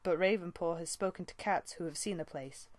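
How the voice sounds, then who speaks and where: A woman's voice: on the low side, a little fast, no echo, lightly acted. A woman voicing a burdened young male warrior cat in an audiobook, speaking in a serious, firm manner. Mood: guilty, urgent. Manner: serious, firm, rough-edged.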